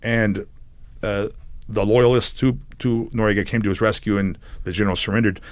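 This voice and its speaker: A man's voice: fairly low pitch, fairly even intonation, normal speed, no echo. A man in his forties from San Diego, a podcast guest telling stories casually, rambling and laughing between phrases. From San Diego, United States. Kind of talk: casual storytelling.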